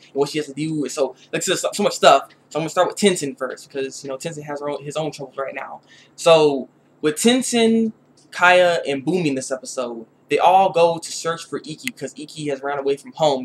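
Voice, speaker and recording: A man giving a casual, off-the-cuff episode review, rambling in slangy, expressive speech and often repeating his phrases. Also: on the high side, a little hiss or hum. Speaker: YouTube reviewer.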